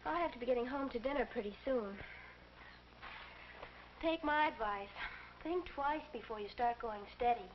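A woman's voice: a little high, moderate pace, long pauses, lightly acted. A young woman playing a chatty, sociable teenage girl in a breezy 1940s instructional film style. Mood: wry, complaining. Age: young adult. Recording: muffled, noisy 1940s film soundtrack.

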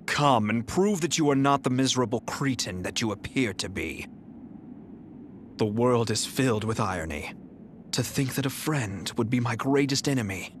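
A man's voice: mid-range voice, slight background noise, close to an everyday voice. A man voicing a haughty young strategist general. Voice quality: calm, measured, haughty. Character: cool, arrogant young male strategist general. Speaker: game character. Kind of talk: game voice clips.

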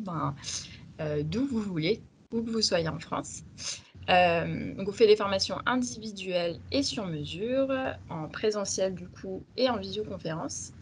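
A young woman opens an online webinar, hesitating often and filling pauses as she goes. Her voice sits at a middle pitch, with a faint background hiss from the call. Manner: hesitant, many fillers. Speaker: communications and recruitment officer, webinar host. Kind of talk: webinar introduction.

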